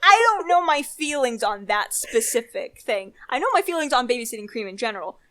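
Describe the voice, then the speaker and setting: A woman's voice: a little high, animated, fairly quick, sharp tone. A young woman co-hosting a podcast, chatting casually and giving her opinions in an informal, rambling way. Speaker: podcast co-host. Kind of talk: casual chat.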